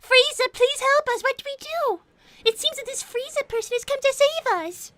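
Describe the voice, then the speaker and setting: Cartoon bunny girl, extremely high voice, prim and formal, excitable, easily indignant. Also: normal speed. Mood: pleading. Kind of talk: live in-character improv reading chat messages.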